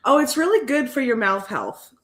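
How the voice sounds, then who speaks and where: A woman co-hosting a craft livestream, chatting casually with a raspy morning voice, telling a story and laughing often. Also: fairly high pitch, sing-song, normal speed, fairly bright tone. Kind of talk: casual chat.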